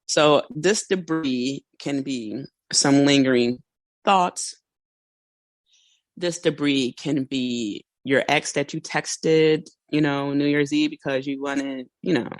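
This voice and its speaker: A woman hosting a casual solo podcast, chatty and a little hoarse, laughing now and then and acting out small quoted asides. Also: sits low, slow pace, dry recording. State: slightly hoarse. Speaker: podcast host.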